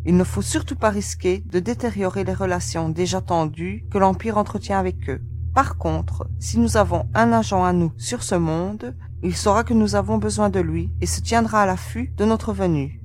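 A woman playing a cautious, cool-headed young secret agent in a science-fiction audio drama, explaining in a measured way. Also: medium pitch, a little mellow, close to an everyday voice. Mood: firm explaining.

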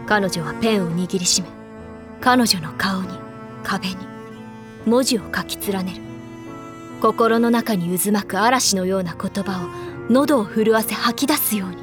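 A woman's voice: medium pitch, measured pace, music in the background, close to an everyday voice. Woman as a solemn, haunting ghost-story narrator. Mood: solemn, ominous. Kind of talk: dramatic scripted narration.

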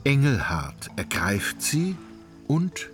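A man narrating a literary radio drama in a measured, wry, ornate storytelling manner. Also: medium pitch, huge pitch swings. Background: music.

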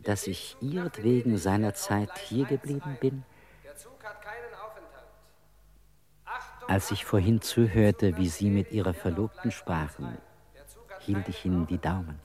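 An actor playing a bitter, wry homeless drifter in a German radio play, confessing in halting phrases with sudden dramatic emphasis. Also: male voice, fairly low pitch, a crowd in the background, close to an everyday voice. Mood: reflective, earnest.

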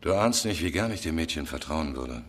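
Radio drama: a suspicious hot-tempered husband, deep and quick. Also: male voice, lively intonation, a bit bright, slight background noise. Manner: suspicious, probing, bitter. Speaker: Johnny. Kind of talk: radio play acting.

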